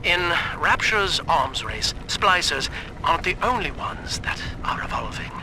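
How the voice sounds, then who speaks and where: Dry formal scientist report for a game, highish voice, noisy rumbly backdrop. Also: male voice, sing-song. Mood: clinical, dry. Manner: formal, measured, dryly clinical. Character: cool corporate weapons researcher reporting on a new creation. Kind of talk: scripted audio report.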